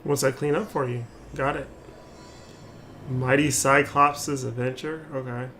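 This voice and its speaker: A man's voice: mid-range voice, steady tone, measured pace, bright, forceful tone, slight background noise. A man doing casual, joking live commentary as he streams a video game. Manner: informal, joking. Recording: game ambience behind the voice. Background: video game sound.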